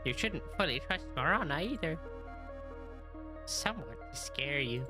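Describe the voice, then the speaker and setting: Woman voicing a tiny lonely creature: very high, squeaky, gentle, wistful; light music under. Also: expressive, unhurried.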